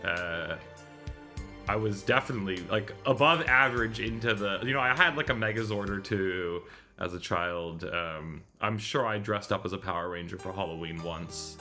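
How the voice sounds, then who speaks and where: Bright-voiced man rambling on a livestream. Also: sits low, moderate pace, faint music behind. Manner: rambling, digressive, self-correcting. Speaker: live streamer hosting a visual novel readalong. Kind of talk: casual livestream chat.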